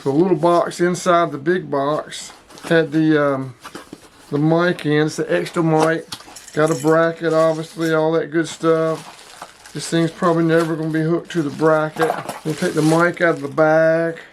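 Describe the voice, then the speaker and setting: A man's voice: a little high, little pitch movement, unhurried, slight background noise. A man in his sixties hosting a casual hobby product showcase, speaking emphatically and repeating himself to drive points home. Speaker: host of a hobby radio video.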